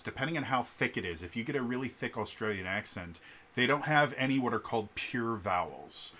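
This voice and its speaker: A man's voice: mid-range voice, pushed and bright. A man talks casually as a vlogger and game master, explaining things in a digressive, storytelling way. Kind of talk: casual rambling storytelling vlog.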